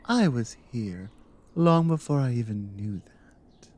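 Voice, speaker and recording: A woman playing a teasing, devoted Nordic adventurer wife in an intimate ASMR roleplay, speaking softly and often dropping into a whisper. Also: lively intonation, exaggerated voice. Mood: tender. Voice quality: soft, low, breathy with whispered stretches. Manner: teasing, unhurried, affectionate.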